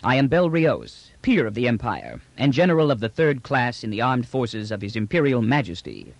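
A man's voice: mid-range voice, big pitch swings, moderate pace, no echo. Man playing a formal, commanding imperial general. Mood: proud, courteous. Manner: formal, precise, smoothly authoritative. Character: commanding, confident male imperial general, coolly menacing. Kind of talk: audiobook character dialogue.

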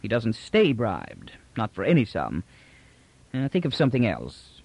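Man reading wry old patrician, gentle and measured, dry audiobook sound. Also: lowish voice, huge pitch swings, gravelly, breathy edge, no room sound, heavily acted. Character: calm, dry-witted older male patrician.

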